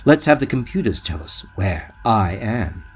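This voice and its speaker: A man's voice: lowish voice, very animated, moderate pace, heavy background noise. An actor playing a curt, decisive male starship captain in a sci-fi audio drama, speaking in a clipped, authoritative way. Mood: commanding.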